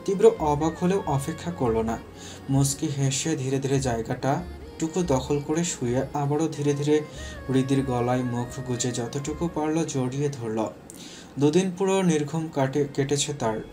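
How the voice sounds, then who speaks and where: A man's voice: mid-range voice, moderate pace, music in the background. A man narrating a scripted romantic story as its storyteller.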